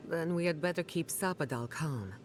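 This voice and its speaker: Actress as imperial envoy: deep for a woman, measured and resolute, game dialogue. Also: a little mellow, slight background noise, close to an everyday voice. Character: calm, resolute female envoy of an empire. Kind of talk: video game cutscene dialogue.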